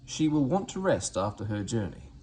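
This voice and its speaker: A man voicing a brisk, authoritative railway boss in a storybook, formal and commanding. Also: mid-range voice, sing-song, dark tone, lightly acted. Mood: brisk instructing. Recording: faint background noise.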